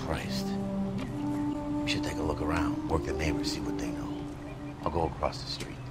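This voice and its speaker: Gruff, very deep-voiced veteran American detective. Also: male voice, little pitch movement, normal speed, over loud music. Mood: weary, subdued. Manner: blunt, bitter, tough-talking. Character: gruff, world-weary, profane veteran male police detective. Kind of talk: dramatic video game acting.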